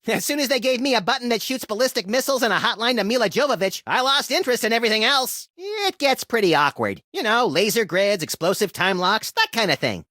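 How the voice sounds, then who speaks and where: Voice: mid-range voice, sharp tone, no echo, clean studio sound. Manic little cartoon rabbit creature, wildly swooping voice. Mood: chatty, sarcastic. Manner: zany, sarcastic, exaggerated delivery. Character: manic, mischievous small cartoon rabbit-like creature, gleefully violent sidekick. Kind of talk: video game character voice lines, comedic cartoon acting.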